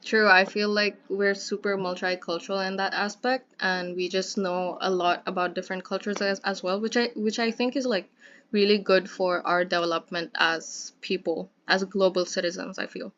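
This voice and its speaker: A woman's voice: medium pitch, fairly even intonation, normal speed, fairly bright tone. Interviewer: young woman, chatty and friendly, muffled lo-fi audio. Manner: friendly, chatty, lots of likes and fillers. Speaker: student interviewer. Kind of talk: casual student interview. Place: at home.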